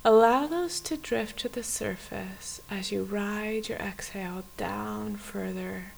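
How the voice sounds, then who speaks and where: A woman guiding a body scan meditation in a soft, often near-whispered voice, with gentle, unhurried phrasing and pauses. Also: medium pitch, creaky, poor audio. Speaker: meditation guide. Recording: faint background hiss.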